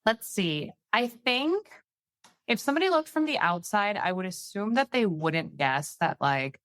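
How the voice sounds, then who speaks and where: A woman's voice: mid-range voice, sing-song, unhurried, fairly bright tone, dry recording. A woman from Peru, whose first language is Spanish, chatting as a podcast guest with many "like" fillers. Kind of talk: casual interview chat.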